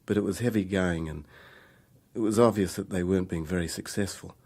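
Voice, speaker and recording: Young man spilling his secret in a radio play, deep n husky, hesitant, rueful. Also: a little fast, a little mellow. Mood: candid, wry. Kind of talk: radio drama dialogue, confessional storytelling.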